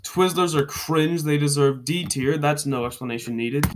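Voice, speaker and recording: A man's voice: fairly high pitch, moderate pace, a little hiss or hum. A congested young man giving a joking, rambling rundown of candy rankings into his webcam at home. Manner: joking, rambling, self-correcting. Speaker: vlogger. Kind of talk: casual ranking commentary.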